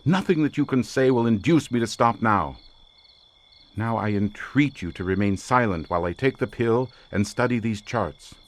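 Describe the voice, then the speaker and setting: An actor playing an intense, imperious occult researcher in an audio drama, speaking in a formal, grandiloquent, theatrical manner. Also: male voice, mid-range voice, normal speed, natural voice. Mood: impatient.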